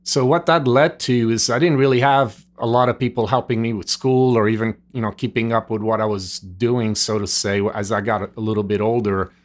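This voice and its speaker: A man's voice: medium pitch, fairly even intonation, normal speed, hardly any pauses. A middle-aged man from Switzerland, a native French speaker with a Swiss French accent, earnestly telling his life story as a podcast guest, rambling with "like" and "you know". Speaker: guest, nutrition store owner. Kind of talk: storytelling interview answers. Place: gym.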